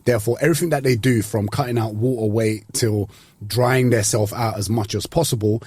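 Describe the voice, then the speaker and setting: A man's voice: medium pitch, steady tone, brisk, darkish tone, slightly rough, no room sound. A man chatting as a podcast guest in a casual, slangy, joking way. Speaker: podcast guest, fitness professional.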